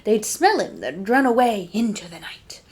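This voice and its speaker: A woman reading a storybook aloud to children as a librarian, dramatic and dropping to hushed tones for suspense. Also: mid-range voice, sing-song, moderate pace, slightly rough.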